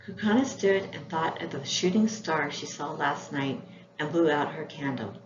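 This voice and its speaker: A woman's voice: low voice, normal speed. A woman reading scripted children's storybook narration as its author. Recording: echoey room, dull sound, faint noise.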